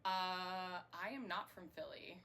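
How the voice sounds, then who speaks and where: A woman's voice: fairly low pitch, little pitch movement, a little slow, fairly bright tone. An American woman in her thirties from Florida, giving chatty, rambling answers in a casual interview. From Florida, United States. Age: 30s. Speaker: interviewee, singer and burlesque performer. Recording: clear background noise.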